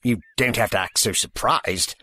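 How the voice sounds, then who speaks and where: An actor playing an eccentric, absent-minded old time traveler with fussy, courtly phrasing in an audio drama. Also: male voice, lowish voice, normal speed, pushed and bright, no room sound. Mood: fussy, breezy.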